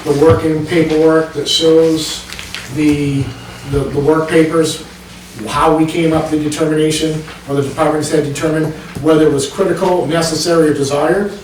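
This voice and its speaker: A man's voice: a little high, level tone, moderate pace, a little mellow. A man on a planning board walks a committee through a budget plan at a public meeting, explaining page by page with frequent ums. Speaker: planning board member presenting. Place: town meeting room. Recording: echoey meeting room from far off, noisy.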